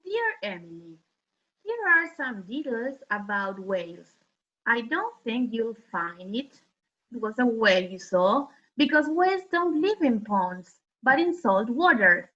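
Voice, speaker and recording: A woman teacher reading a children's story aloud in a careful, measured and expressive way. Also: on the high side. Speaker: primary school teacher, co-reader. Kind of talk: slow read-aloud of story letters for children. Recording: dull lo-fi sound.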